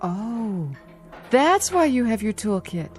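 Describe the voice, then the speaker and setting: An actress playing a caring, patient granddaughter in a radio drama, gentle but firm in how she talks. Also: on the high side, sing-song, takes it slowly, soft background music. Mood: patient, concerned.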